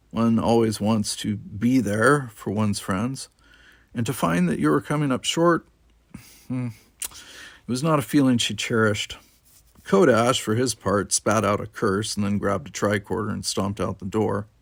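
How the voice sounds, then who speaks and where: A man's voice: fairly low pitch, creaky, no echo, clean studio sound. A man reading an adventure novel aloud as dramatic, scripted narration. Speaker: audiobook reader.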